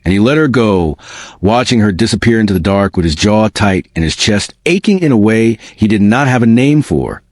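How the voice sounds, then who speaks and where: A man narrating a romantic drama in a measured, dramatic storytelling style. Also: fairly low pitch, sing-song, a little mellow, dry recording, studio quality.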